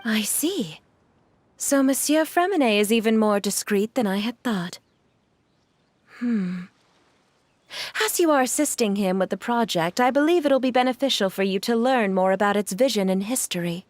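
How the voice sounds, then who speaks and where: A woman playing a gentle, genteel mother and employer in a video game, speaking politely and in measured phrases over music. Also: fairly high pitch, lively intonation, no room sound, close to an everyday voice. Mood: polite, thoughtful. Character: refined, gentle, courteous mother and wealthy employer.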